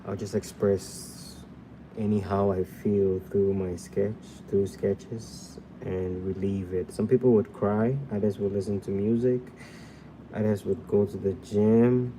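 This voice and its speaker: A man's voice: lowish voice, fairly even intonation, slow, warm tone, a little hiss or hum, rough recording. A young man from Ghana hosting a live drawing tutorial, chatting casually with viewers while he sketches at a desk indoors. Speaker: drawing livestream host.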